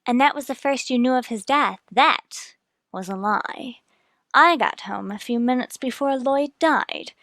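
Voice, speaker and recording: A woman's voice: high voice, a little slow, fairly bright tone, dry recording. A woman playing a bitter, accusing wife cornered as a murderer in a radio mystery drama. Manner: scornful, accusing.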